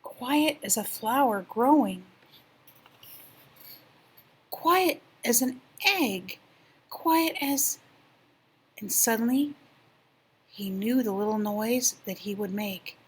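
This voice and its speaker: Slow, breathy older woman reading a storybook. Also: fairly high pitch, expressive, rough recording. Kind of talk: expressive children's picture-book reading.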